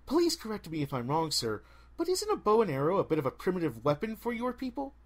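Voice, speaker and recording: Man voicing a calm, precise young warrior girl. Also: high-pitched, heavily acted. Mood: calm, polite. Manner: polite, measured, formal.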